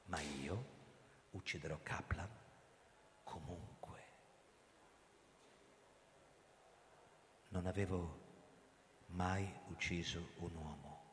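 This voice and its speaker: A male actor playing a grim, accused ex-police commissioner who narrates his own story in a radio drama, brooding and deliberate. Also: low voice, steady tone, a bit bright. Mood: grimly determined. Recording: hall reverb, noisy live stage ambience.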